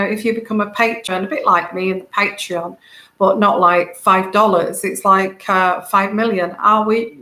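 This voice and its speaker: Woman, soothing soft voice, rambling livestream host, bright tone, roomy echo. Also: medium pitch. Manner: rambling, many fillers, greets viewers by name. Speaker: tarot reader and channel host. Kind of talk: casual livestream chat with viewers.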